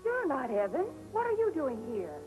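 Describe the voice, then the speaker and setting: A woman's voice: sits high, wildly swooping pitch, moderate pace, over music, poor audio. An actress playing a flustered, bewildered woman in a 1950s TV drama. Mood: confused, flustered. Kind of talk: 1950s American TV drama acting.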